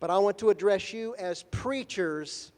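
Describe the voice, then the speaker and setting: High-voiced man lecturing in a reverberant hall. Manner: measured, deliberate, with gentle humor. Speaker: guest lecturer at a conference. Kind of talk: formal lecture paused for consecutive interpretation.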